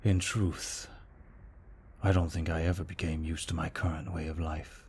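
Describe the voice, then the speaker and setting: A man playing a gentle, remorseful vampire in an ASMR roleplay, speaking slowly and formally in a soft, breathy near-whisper. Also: very deep voice, flat delivery, a little hiss or hum. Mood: haunted, sorrowful. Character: brooding remorseful male vampire, gentle and protective. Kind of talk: ASMR roleplay, scripted monologue.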